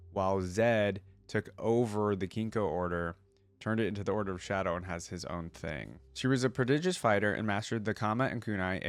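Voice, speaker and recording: A man's voice: on the low side, smooth, no room sound. A man hosting a reaction video, mixing casual commentary with reading aloud and thinking things through as he goes.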